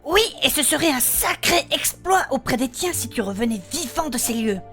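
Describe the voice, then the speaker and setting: A woman's voice: high-pitched, animated, moderate pace, fairly bright tone, faint music behind. A woman playing a practical, no-nonsense adventurer who bosses her companions around in a comedic fantasy audio drama. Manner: forthright, no-nonsense, casual.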